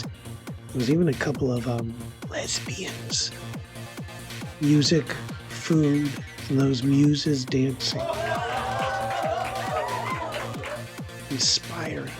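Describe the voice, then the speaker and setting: An actor playing a bitter, self-deprecating loner in a conversational dramatic monologue, rambling through defensive and resentful asides in a gravelly voice. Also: male voice, medium pitch, steady tone, takes it slowly, music in the background. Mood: smug, resentful.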